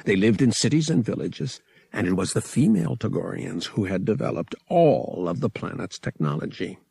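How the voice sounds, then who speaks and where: A man's voice: fairly low pitch, animated, normal speed, gravelly, dark tone, slightly rough, dry recording. A man narrating a story as a dramatic, scripted audiobook reading.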